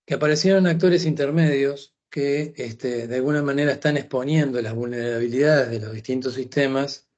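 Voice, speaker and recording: A man explaining cybersecurity threats in a masterclass, often pausing on "este". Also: medium pitch, a little mellow. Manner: explanatory, frequent "este" fillers. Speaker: cybersecurity lecturer. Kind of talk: masterclass lecture. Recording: dull lo-fi recording.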